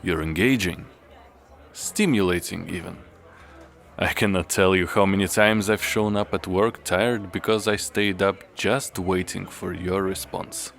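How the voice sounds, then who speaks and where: A man playing a reserved, solitary young therapist in an audio drama, speaking in a measured, formal, understated way. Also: deep voice, steady tone, creaky, fairly bright tone, full character voice. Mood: quietly admiring. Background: music.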